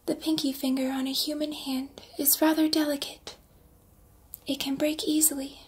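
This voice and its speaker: A woman playing a calm, exacting combat robot trainer in soft, often whispered ASMR roleplay, with formal, precise instructions. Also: high voice, normal speed, lightly acted. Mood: calm, instructive.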